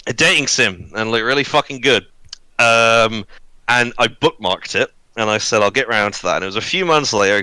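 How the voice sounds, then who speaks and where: A man in his early twenties chatting and telling personal stories on a podcast, halting, sweary and self-deprecating. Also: on the low side, sharp tone, clean tone, no room sound, low-quality recording. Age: early 20s. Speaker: podcast crew member. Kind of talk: casual podcast chat, personal storytelling. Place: online voice call recorded on own mic.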